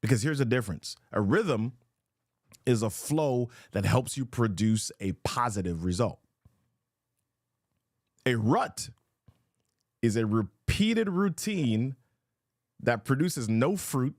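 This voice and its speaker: A man's voice: medium pitch, darkish tone, dry recording, clean studio sound. A man hosting a motivational live show, talking emphatically and repeating his key questions. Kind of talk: motivational live-stream talk.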